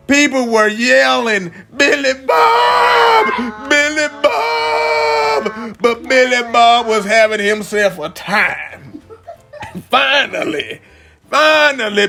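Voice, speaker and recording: A man telling an improvised bedtime story to children as a dad and host, animated and drawn-out, repeating phrases for emphasis. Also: high voice, vocal fry.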